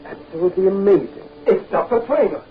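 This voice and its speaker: An actor playing a suave, evasive archaeologist in a 1930s film serial, with a formal, courteous, theatrical manner. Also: male voice, sits high, lively intonation, brisk, noisy background. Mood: feigned amazement. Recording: dull 1930s film soundtrack, faint music.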